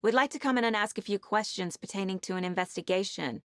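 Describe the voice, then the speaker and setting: An actress playing a determined, level-headed FBI agent in a video game, speaking in a measured, serious and slightly husky voice. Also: female voice, mid-range voice, a bit bright, no room sound, studio quality, lightly acted. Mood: businesslike, focused. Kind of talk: naturalistic dramatic game dialogue and inner monologue.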